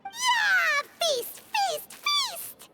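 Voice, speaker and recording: Tiny childlike fairy sidekick, squeaky and very high, lively swoops, chirpy, excitable, anime game. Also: female voice, extremely slow, very noisy, full character voice. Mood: excited. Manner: squeaky, speaks of self in third person.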